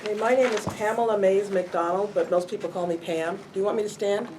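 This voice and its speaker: A woman's voice: medium pitch, fairly even intonation, brisk, background noise. An older woman, an arts commissioner, speaking earnestly and emphatically at a city hall community meeting. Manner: emphatic, earnest, self-described loud voice. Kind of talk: public meeting statement.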